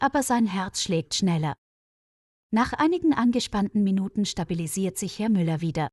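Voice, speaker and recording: A woman reading a scripted story for language learners, articulating clearly. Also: medium pitch, a little mellow, no echo, clean studio sound. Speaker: narrator.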